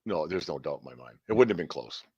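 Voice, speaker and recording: A man's voice: rumbling low, expressive, fast, mellow, breathy edge, no room sound, muffled recording. A middle-aged man hosting a casual sports talk, rambling with lots of fillers and restarts. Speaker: podcast host.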